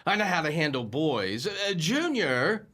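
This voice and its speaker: A man's voice: a little high, sing-song, measured pace, bright, forceful tone, heavily acted. An actor playing a bumbling, blustery working-class husband and father in a comic radio drama, with a fumbling, hesitant way of talking. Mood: blustering.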